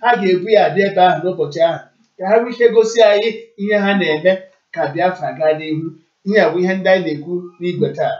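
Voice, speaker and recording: Echoey, high-voiced Nigerian Igbo radio host, male. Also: fast talker, a little mellow. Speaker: radio call-in show host. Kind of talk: live broadcast announcing and answering callers. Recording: echoey, distant, muffled internet radio stream.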